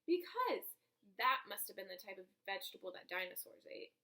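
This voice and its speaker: A woman voicing an excitable, chatty schoolboy in a storybook, eager and emphatic, always rambling. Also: mid-range voice, moderate pace. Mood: eager, boastful.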